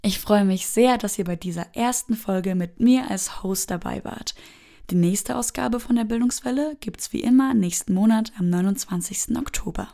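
Gravelly-voiced woman hosting a podcast. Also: mid-range voice, moderate pace, mellow. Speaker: podcast host. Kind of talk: scripted event announcements.

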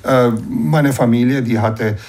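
A man in his sixties from the Banat in western Romania, explaining and recollecting as an interview guest in a measured way, often adding a short tag to his sentences. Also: medium pitch, a little mellow. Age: 60s.

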